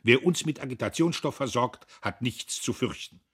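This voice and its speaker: A man voicing a fanatical socialist worker foreman who shrieks his convictions, defiant, with a husky voice. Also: medium pitch, animated, normal speed, fairly bright tone, voice pushed up. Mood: shrieking. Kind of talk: audiobook character voice.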